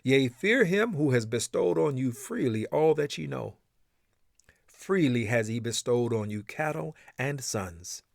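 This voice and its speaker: A man's voice: mid-range voice, dry recording. Solemn man reciting scripture, close and dry. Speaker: reader of a scripture translation.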